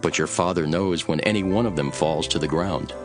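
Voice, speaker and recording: A man playing a grave, authoritative teacher instructing his followers in a dramatised scripture reading, with measured, solemn delivery. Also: sits low, music in the background, natural voice.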